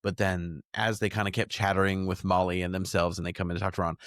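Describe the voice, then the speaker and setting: Male podcast co-host, low voice, casual conversational delivery, dry close sound. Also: little pitch movement, fast, a bit bright, no room sound.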